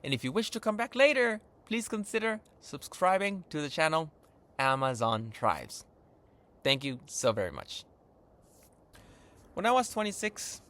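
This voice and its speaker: A man's voice: medium pitch, fairly bright tone. A man in his thirties with a Japanese accent, hosting a language lesson in an eager, earnest, upbeat way. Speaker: channel host. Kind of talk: enthusiastic vlog-style lesson intro.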